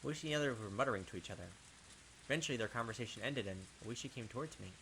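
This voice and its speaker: A man's voice: mid-range voice, very fast, pushed and bright, poor audio. Man reading as a distraught, brooding young killer. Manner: brooding, insistent, repeating himself. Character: distraught, obsessive young male killer doubting his sanity. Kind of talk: dramatic visual novel narration. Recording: game noise behind the voice.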